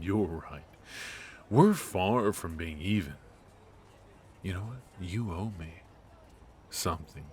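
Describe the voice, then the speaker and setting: A man playing a suave, teasing, flirtatious mafia boss in an intimate ASMR roleplay, murmuring asides with hums and pauses. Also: low voice, slight background noise, full character voice. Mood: sly, probing. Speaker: voice actor in an audio roleplay. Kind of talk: ASMR roleplay acting, intimate one-sided conversation.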